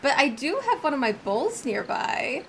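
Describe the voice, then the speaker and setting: A woman's voice: high voice, sing-song, moderate pace, a bit bright, a little hiss or hum, low-quality recording. A woman chatting casually and enthusiastically as she comments on a game during a live stream. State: getting over a cold.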